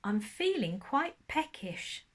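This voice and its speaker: A kindly park keeper from a children's storybook, voiced by a woman reader. He is cheerful and a little sheepish, with a gentle, hesitant way of talking. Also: fairly high pitch, big pitch swings, takes it slowly, full character voice.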